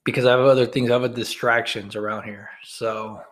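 A man's voice: mid-range voice, flat delivery, fairly quick. Rambling, frank man co-hosting a podcast. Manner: rambling, frank, swears casually. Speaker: podcast co-host. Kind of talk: casual chat.

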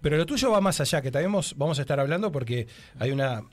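A man's voice: fairly high pitch, animated, quick pace. A male radio host, interviewing in a casual, hesitant way with many fillers.

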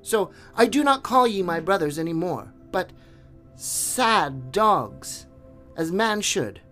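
A man voicing a wild boy raised by wolves, crying out high and defiant. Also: sing-song, slow pace, fairly bright tone, faint music behind, full character voice. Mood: furious.

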